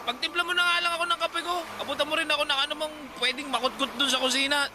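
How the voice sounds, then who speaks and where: A hot-tempered bullying brother. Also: male voice, very high voice, steady tone, quick pace, background noise. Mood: aggressive. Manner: loud, aggressive. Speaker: older brother. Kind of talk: radio drama acting.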